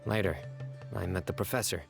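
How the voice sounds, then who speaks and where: An actor playing a solemn, reserved young male desert enforcer in a video game, with formal, measured, stoic speech. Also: low voice, creaky, over music. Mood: grave, measured.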